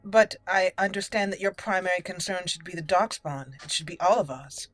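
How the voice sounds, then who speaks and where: A woman's voice: lowish voice, steady tone, fairly quick, fairly bright tone, no echo. A woman giving casual commentary on a fantasy video game and reading dialogue choices aloud in character. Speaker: let's play host.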